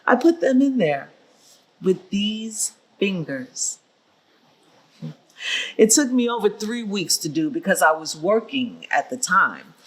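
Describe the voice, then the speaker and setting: A woman's voice: on the low side, a bit bright, slightly rough, low-quality recording. An actress playing a proud, determined older seamstress, telling her story plainly and emphatically in a staged reading. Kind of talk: staged reading of oral history.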